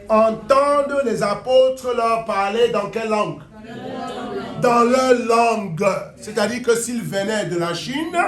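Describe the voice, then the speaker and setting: A man preaching a sermon in a church and interpreting for another preacher, animated, repeating phrases and drawing answers from the congregation. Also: extremely high pitch. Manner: animated, repeats phrases, mock gibberish for effect. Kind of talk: sermon with call and response. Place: church hall. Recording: echoey hall.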